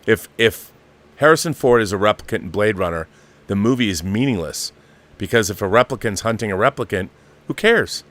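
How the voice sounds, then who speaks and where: A man's voice: on the low side, animated, brisk, a bit bright. A middle-aged or older man hosting a live show, giving opinionated, casual film commentary and repeating phrases for emphasis.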